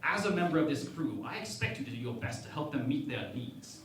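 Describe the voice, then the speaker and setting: An actor playing a pompous, lecherous starship captain in a parody radio play, with a theatrical, grandiose delivery. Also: male voice, medium pitch, sing-song, a little fast, fairly bright tone, recorded from a distance. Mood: commanding. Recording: echoey room, off-mic, background noise.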